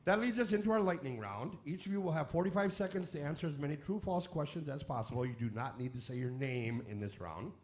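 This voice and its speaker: A man's voice: on the high side, lively intonation, fast talker, hardly any pauses, a little mellow. A man hosting a comedy radio quiz, reading out questions in an irreverent, jokey way with wisecracking asides. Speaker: quiz host of a comedy radio variety show. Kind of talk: comic game show hosting with joke asides.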